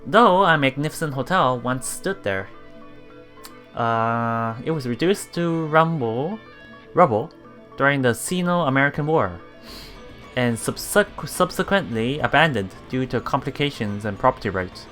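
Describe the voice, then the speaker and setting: A man doing a let's play, reading visual novel text aloud haltingly, with stumbles, self-corrections and casual asides. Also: medium pitch, expressive, a bit bright, soft background music.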